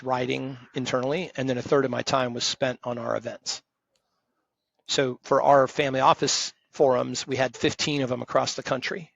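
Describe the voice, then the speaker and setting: Gravelly, husky man in his fifties, flat delivery, dull dry lo-fi recording. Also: medium pitch, no echo. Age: 50s. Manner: emphatic, direct, self-described loud voice. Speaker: podcast guest, retired firm partner. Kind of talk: conversational interview.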